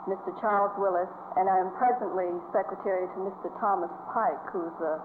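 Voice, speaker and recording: A woman from Boston, a secretary, giving formal answers in an interview. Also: mid-range voice. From Boston, United States. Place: office. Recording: tinny, noisy 1950s newsreel sound.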